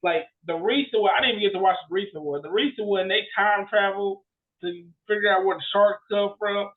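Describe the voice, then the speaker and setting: A man's voice: high voice, fairly quick. A young man co-hosting a podcast in casual chat, exclamatory and repeating himself for emphasis, over a thin phone-like connection. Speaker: podcast co-host.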